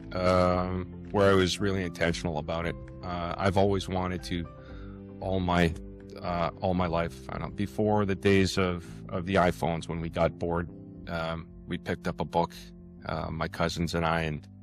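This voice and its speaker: A sixty-year-old man with a Canadian accent, answering interview questions casually in a halting, thinking-aloud way. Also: sits low. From Toronto, Canada. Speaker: podcast guest, author.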